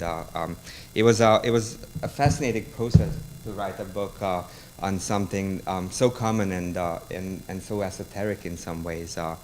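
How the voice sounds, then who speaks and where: A man's voice: sits low, a little hiss or hum, rough recording. A man with a Hungarian accent, a university lecturer giving a public talk about a book, hesitant and full of ums and restarts. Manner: halting, many ums and restarts.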